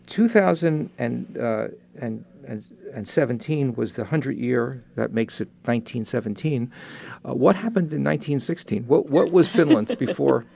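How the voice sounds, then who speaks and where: Male radio host, gravelly, casual interviewer. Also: mid-range voice, a little mellow. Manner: chatty, questioning, with hesitations.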